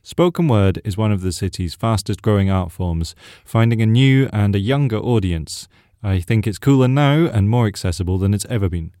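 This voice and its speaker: A man's voice: on the low side, warm tone, no room sound, studio quality. A man presenting scripted local event announcements on community radio.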